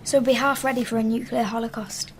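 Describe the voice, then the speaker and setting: Woman as young schoolgirl, high voice, gentle and hopeful, radio drama, faint noise behind. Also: little pitch movement, fast talker, close to an everyday voice. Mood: dreamy, chatty. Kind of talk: naturalistic radio drama acting.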